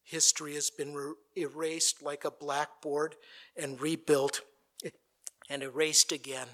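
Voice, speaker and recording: Bright, high-voiced male pastor preaching. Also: flat delivery, measured pace. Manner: dramatic, builds to a stirring recited speech. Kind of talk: sermon. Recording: played from an archive recording.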